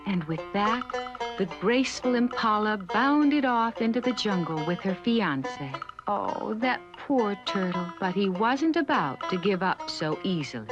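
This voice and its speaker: A woman's voice: mid-range voice, expressive, unhurried, dull audio. A woman narrating a scripted children's story in a dramatic storytelling manner. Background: music.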